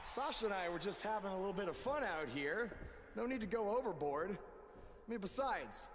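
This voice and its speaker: A man's voice: high-pitched, lively intonation. An actor playing a cocky, mocking male pro wrestler, theatrical and taunting, in a video game cutscene. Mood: cocky, defiant. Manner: theatrical, taunting, drawn-out emphasis. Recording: echoing arena sound, slight noise.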